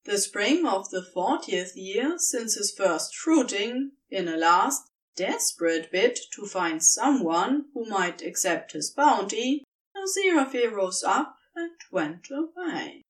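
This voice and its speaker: Female fiction narrator, slow and measured delivery with animated intonation, miked from a little distance. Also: medium pitch. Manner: measured, expressive storytelling. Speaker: podfic reader. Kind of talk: scripted story narration.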